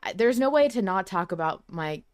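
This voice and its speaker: A woman's voice: medium pitch, expressive, moderate pace, no echo, studio quality. A woman hosting a podcast in casual discussion, chatty and candid, with some casual swearing. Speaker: podcast host.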